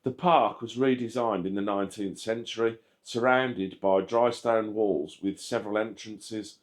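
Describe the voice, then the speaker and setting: Man, low-pitched voice, scripted documentary narration, measured factual reading. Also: fairly even intonation. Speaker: channel host narrating a history video. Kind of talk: scripted narration, read aloud.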